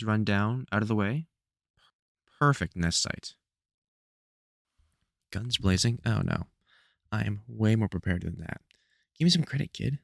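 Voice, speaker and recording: A man's voice: medium pitch, vocal fry, no echo, studio quality, natural voice. A man playing a protective, sardonic veteran vampire hunter in an audio roleplay, scolding fondly in colloquial speech. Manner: colloquial, sardonic, scolding mentor with mild swearing. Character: gruff, protective veteran male vampire hunter, exasperated but fond. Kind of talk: scripted audio roleplay, one-sided conversation.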